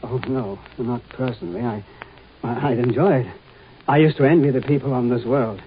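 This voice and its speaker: Humbled professor played by a man, hesitant and stammering, mid-pitched, on a thin, faintly noisy vintage radio recording. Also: highs cut off, close to an everyday voice. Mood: hesitant, resigned. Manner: halting, stammering. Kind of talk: 1950s radio drama acting.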